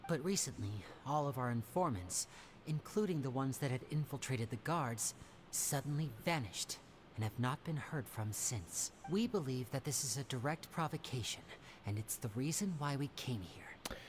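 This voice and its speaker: Low-voiced suave boy magician. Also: animated, moderate pace, a little hiss or hum. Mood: confiding. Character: suave teenage boy stage magician, playful and secretive. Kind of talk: video game character acting. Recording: game music behind the voice.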